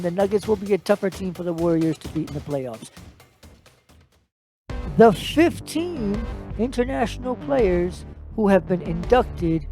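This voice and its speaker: A man's voice: sits high, a little mellow. A man hosting his own sports podcast alone, talking casually and running through lists of players. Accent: American. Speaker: podcast host. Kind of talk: solo podcast commentary.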